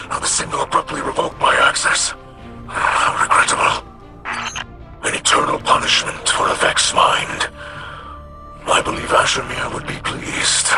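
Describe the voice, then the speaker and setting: An actor playing a courteous, scholarly alien in a video game, speaking formally and haltingly in a breathy, whispery voice. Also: male voice, mid-range voice. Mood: grave. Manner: formal, halting, deliberate delivery. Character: eerie, courteous, scholarly alien male, solemn and curious. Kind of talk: scripted video game dialogue. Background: music.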